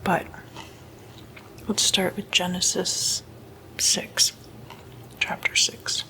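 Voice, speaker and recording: A woman speaking in a hushed ASMR whisper, unhurried and in short halting phrases, like an informal late-night lecture. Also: fairly low pitch, level tone. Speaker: ASMR host.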